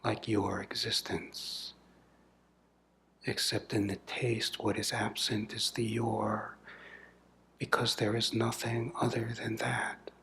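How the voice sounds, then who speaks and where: A man leading a guided meditation as a spiritual teacher, speaking softly and deliberately in measured phrases with long pauses, often breathy and trailing toward a whisper. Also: on the low side, flat delivery, slight background noise. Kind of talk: guided meditation, contemplative satsang talk.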